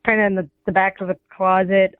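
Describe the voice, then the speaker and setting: A woman's voice: medium pitch, little pitch movement, quick pace, clean tone, no room sound. A woman calling in to a radio show, having an emotional conversation over the telephone. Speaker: caller, wife on a radio prank call. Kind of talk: emotional phone confrontation. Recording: dry, muffled phone line sound.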